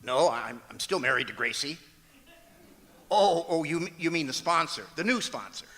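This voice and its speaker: A man's voice: a little high, animated, normal speed, sharp tone. A man playing a wry, patient straight-man husband with a hesitant, stammering delivery in old-time radio comedy. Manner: hesitant, dry, punctuated with stammers. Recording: echoey auditorium, noticeable noise.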